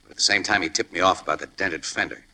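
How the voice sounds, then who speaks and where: A hard, forceful male voice from an old radio crime show. He is a wisecracking undercover man posing as an ex-con, very low and rough-edged, tossing off slang with easy nonchalance. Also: fairly even intonation, fast talker, exaggerated voice, rough recording. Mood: confiding. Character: tough-guy crime photographer posing as ex-convict garage hand. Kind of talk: 1940s radio crime drama acting. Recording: old-time radio broadcast recording.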